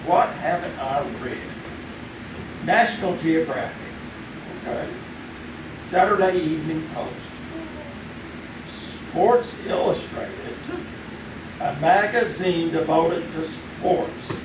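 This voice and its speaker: A flustered 1950s husband, played by a man who stammers when startled. His voice is fairly high and distant, with a roomy live-stage sound and hiss behind it. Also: slow, long pauses. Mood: sleepless. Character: flustered 1950s small-town husband, Mike. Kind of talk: live old-time radio drama acting.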